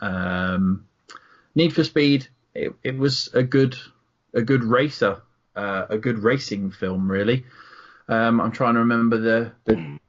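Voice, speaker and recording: A co-host with a mid-pitched voice rambles cheekily, halting and repeating himself as he goes. The recording is dull and lo-fi. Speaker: podcast co-host. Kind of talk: casual panel discussion. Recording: dull lo-fi sound.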